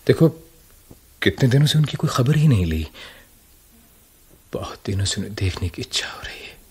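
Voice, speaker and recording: Low, husky, weary writer. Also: male voice, big pitch swings, normal speed, heavily acted. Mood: wistful. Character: weary, stalled writer and husband, troubled and wistful. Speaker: radio drama actor. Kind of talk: Hindi radio play acting.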